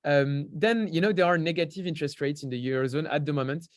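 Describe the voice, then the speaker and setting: A man's voice: fairly high pitch, fast talker, dry recording, studio quality. A young man from France with a French accent, a podcast guest explaining things in an easygoing way, with many fillers and restarts. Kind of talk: casual interview talk.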